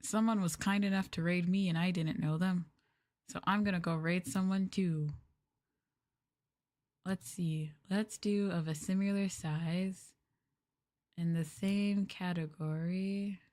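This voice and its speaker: A woman with a fairly low voice talks very slowly and softly, close to the mic. She sounds like an ASMR streamer chatting casually, letting her sentences trail off. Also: steady tone. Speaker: VTuber streamer, host. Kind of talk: casual ASMR chat livestream.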